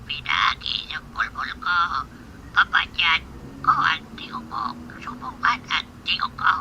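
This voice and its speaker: A man voicing a clucking, talking fighting rooster in a breathy, airy voice in a comedic audio drama. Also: sits high, expressive, unhurried, sharp tone, slight background noise, exaggerated voice. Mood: slow, eerie.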